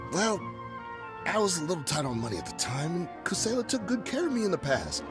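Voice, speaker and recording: A man's voice: sits high, big pitch swings, a little fast. A man voicing a shifty, nervous smuggler in a video game, talking in an evasive, wheedling way. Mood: sheepish self-justifying. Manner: wheedling, evasive, hushed then pleading. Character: shifty, nervous male weapons smuggler in desert corps. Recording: game music behind the voice.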